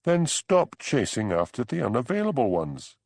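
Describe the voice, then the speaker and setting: A man's voice: mid-range voice, huge pitch swings, moderate pace, creaky, a little mellow, no echo, studio quality, heavily acted. A man voicing a frank village woman for an audiobook. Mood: frank advice. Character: Angela, gossip-teased village woman, frank friend. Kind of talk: audiobook character dialogue.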